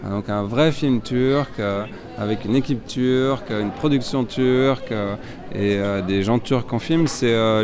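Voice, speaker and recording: A French man, a filmmaker, answering interview questions outdoors, hesitant and full of fillers. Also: medium pitch. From France. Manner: hesitant, many fillers and restarts. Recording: loud crowd behind. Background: crowd noise.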